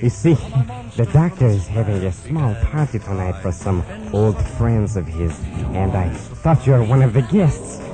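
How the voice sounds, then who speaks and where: A man playing a courteous, creepy castle butler in a comic horror radio play, formal and halting. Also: lowish voice, animated, over music, natural voice. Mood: politely eerie.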